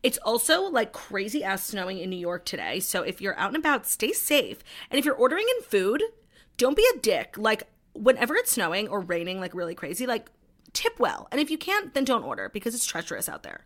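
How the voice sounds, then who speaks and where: A woman's voice: medium pitch, sing-song, a little fast, fairly bright tone. A woman co-hosting a podcast in casual chat, blunt and teasing, swearing freely. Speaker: podcast co-host.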